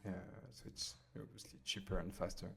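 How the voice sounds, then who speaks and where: A man with a French accent, casually talking through a software tutorial at his computer, pausing often with fillers as he types. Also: sits low, fairly even intonation, gravelly. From France. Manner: hesitant, many fillers while typing. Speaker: software demo host. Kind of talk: casual screen-recorded tutorial.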